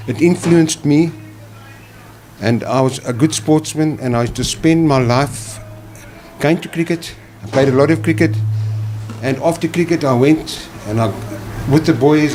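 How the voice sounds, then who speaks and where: An older man gives a plain, halting, sincere personal testimony at a church service. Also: medium pitch, poor audio. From South Africa. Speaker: church visitor giving testimony.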